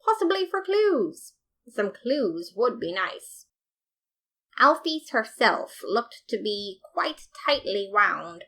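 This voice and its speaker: A woman reading a fan story aloud as narrator, with lively, playful storytelling. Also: medium pitch, slow. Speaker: podfic reader.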